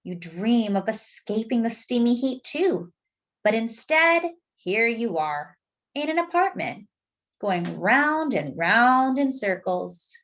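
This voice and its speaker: Slow, high-pitched, lively storytime woman. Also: muffled recording. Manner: expressive, addresses young listeners directly, dramatic pauses. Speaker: children's storytime reader and host. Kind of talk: picture-book read-aloud for young children.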